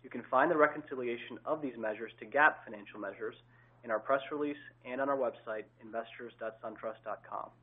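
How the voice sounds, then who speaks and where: A man's voice: mid-range voice, fairly quick, slightly rough. A man opening an earnings call, formally reading a scripted disclaimer and introducing the executives. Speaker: investor relations host. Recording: tinny phone line.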